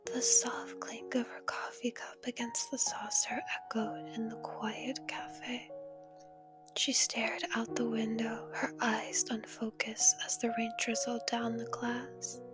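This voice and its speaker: A woman playing a possessive, doting female villain in an intimate ASMR roleplay, coaxing and tender, with a breathy voice that often drops to a whisper. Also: on the high side. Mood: hushed storytelling. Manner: coaxing, tender, lingering on pet names.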